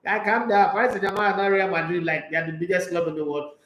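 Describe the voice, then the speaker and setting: A high-pitched man talks football in a loose, opinionated way and makes jokey asides. The room around him is echoey. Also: flat delivery, fast, a bit bright. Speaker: sports talk show host. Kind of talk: casual sports talk, reading news and viewer comments.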